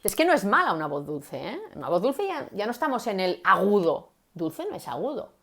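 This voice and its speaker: A woman's voice: medium pitch, sing-song, fairly quick, fairly bright tone. Woman, communication coach, mid-range voice, demonstrative, chatty live-stream delivery. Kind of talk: live-stream teaching talk.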